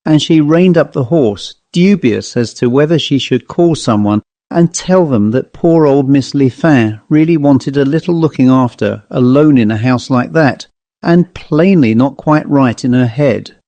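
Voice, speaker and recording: A man's voice: medium pitch, measured pace, dark tone, dry recording. Man narrating a ghost story, dry sound. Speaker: audiobook narrator.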